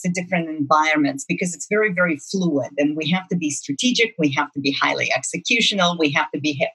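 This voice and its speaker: Casting note: middle-aged Russian-accented woman, low, bright and forceful, emphatic interview guest. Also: slightly rough, low-quality recording. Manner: fluent, emphatic, repeats words for stress. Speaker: guest, marketing executive. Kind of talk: interview, career storytelling.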